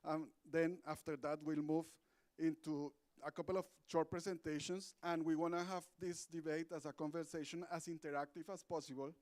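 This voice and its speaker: A man's voice: on the high side, flat delivery, moderate pace, a little mellow. A man moderating a conference side event, friendly and loose, with fillers and restarts. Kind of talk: meeting moderation. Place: conference room.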